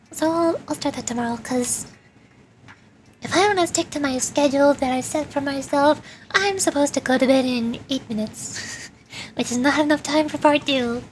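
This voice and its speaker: A woman's voice: high voice, slight background noise. A woman game streamer chatting casually to herself and viewers as she plays. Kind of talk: casual gameplay chat. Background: game music.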